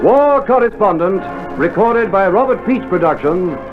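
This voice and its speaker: High-pitched male radio announcer over loud music. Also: expressive, normal speed. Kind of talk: 1960s radio credit announcement. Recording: thin phone-like old vinyl recording.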